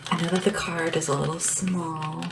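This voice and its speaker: A small, caring assistant to a dragon ruler, acted by a woman in an ASMR roleplay. The voice is low and soft, often slipping into a whisper, with an unhurried, deferential manner. Clear noise and tapping sit behind the lo-fi recording. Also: fairly even intonation. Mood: earnest, grateful. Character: small, devoted, gentle assistant to a dragon monarch. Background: tapping and background hiss.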